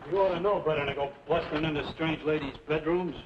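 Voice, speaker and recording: Man playing a high-voiced, blustering frontier deputy. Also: steady tone, fast talker, natural voice. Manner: folksy, blustering. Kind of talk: old-time radio western acting. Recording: muffled, hissy old radio recording.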